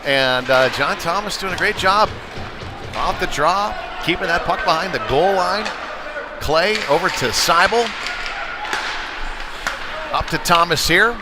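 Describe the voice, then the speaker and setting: A man with a slightly high voice gives live hockey play-by-play. He talks conversationally, dropping in casual asides as he follows the play. Clear rink noise runs behind him on the broadcast. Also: expressive, unhurried, long pauses. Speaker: play-by-play sports commentator. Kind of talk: live youth ice hockey commentary. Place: indoor ice rink.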